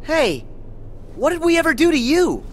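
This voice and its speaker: A man's voice: very high-pitched, wildly swooping pitch, moderate pace, heavy background noise, heavily acted. An actor playing an earnest, plucky teenage boy hero in a video game, forthright and spirited. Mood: indignant. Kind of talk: animated video game voice acting.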